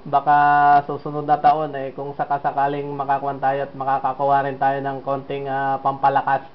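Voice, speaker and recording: A man's voice: mid-range voice, muffled recording, slight background noise. A man from the Philippines talking with another amateur radio operator, speaking steadily and hesitating often. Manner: steady and unhurried, with frequent fillers. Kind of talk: casual ham radio contact.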